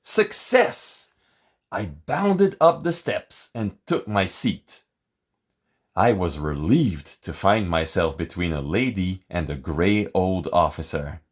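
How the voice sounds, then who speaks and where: Male storybook reader, lower-pitched, dramatic and expressive, with sweeping intonation. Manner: theatrical, expressive, deliberate. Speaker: picture book reader. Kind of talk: dramatic scripted storybook narration.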